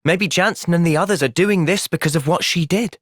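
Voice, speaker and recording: A man voicing an earnest, thoughtful young soldier in a video game, with an English accent and a measured, sincere delivery. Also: high-pitched, no room sound, studio quality. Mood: calm, earnest. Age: young adult. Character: earnest, thoughtful young male soldier, gentle but resolute. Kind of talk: video game cutscene acting.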